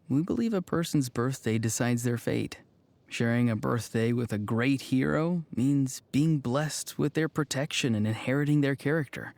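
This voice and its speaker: An actor voicing a gentle, earnest and introspective young man in a video game, speaking in a halting, thoughtful and sincere way. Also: medium pitch, no room sound. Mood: sincere, curious. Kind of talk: video game character voice lines.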